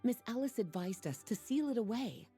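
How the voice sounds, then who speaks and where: A woman voicing a poised, playful and knowing sorceress-librarian in a video game, with soft, breathy, unhurried, teasing delivery. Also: fairly high pitch, lively intonation, faint music behind, lightly acted. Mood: amused, confiding. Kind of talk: video game character dialogue.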